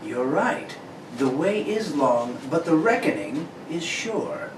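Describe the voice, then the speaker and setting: Man playing a lively high-voiced secret-agent hero. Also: slow. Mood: curious, assured. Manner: courteous, narrates what he sees aloud. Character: confident, curious male secret-agent hero. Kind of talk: amateur read of a 1940 radio serial. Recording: echoey room, distant mic, clear noise.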